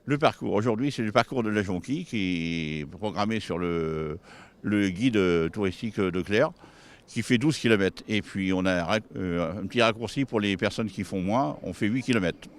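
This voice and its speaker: A man who organises hikes, answering an informal interview in a halting way with self-corrections. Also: fairly low pitch. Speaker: hiking club organiser.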